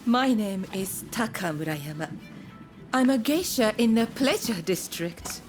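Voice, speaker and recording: An actress playing a gracious, alluring geisha in a video game, speaking politely and formally. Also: female voice, medium pitch, lively intonation, unhurried, soft background music, close to an everyday voice. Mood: gracious, pleased. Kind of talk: video game cutscene acting.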